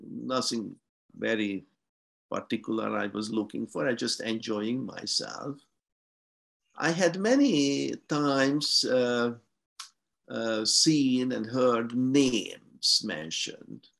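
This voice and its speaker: A man in his fifties, a native Hungarian speaker, talking slowly with many pauses and fillers on a video call from a room at home. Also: mid-range voice, gravelly, a bit bright. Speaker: guest scientist. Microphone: video call webcam.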